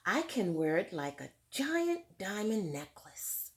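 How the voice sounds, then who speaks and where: A woman's voice: mid-range voice, sing-song, slow pace. A woman reading as a dreamy eight-year-old girl. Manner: proud, dreamy first-person storytelling. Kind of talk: reading a picture book aloud.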